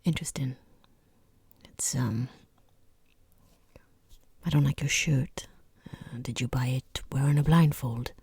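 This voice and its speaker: A woman playing a rude, bored, condescending boss in a softly spoken, mostly whispered ASMR roleplay, close to the microphone, with snide, deliberate delivery. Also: rumbling low, dry recording, full character voice. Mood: coolly disapproving. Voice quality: breathy, husky whisper.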